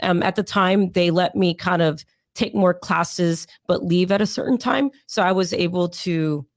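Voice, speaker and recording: A young adult woman, hoarse and raspy from sinus pressure, chatting as an interview guest in a rambling way with many fillers. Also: lowish voice, unhurried, no echo, clean studio sound. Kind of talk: casual interview storytelling.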